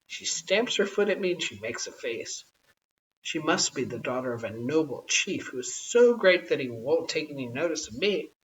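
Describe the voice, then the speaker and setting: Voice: mid-range voice, very animated, moderate pace, a bit bright. A storyteller voicing an earnest, awed stranger man who is anxious to be polite, in a children's storybook. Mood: impressed. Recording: dull, hissy, distant home recording.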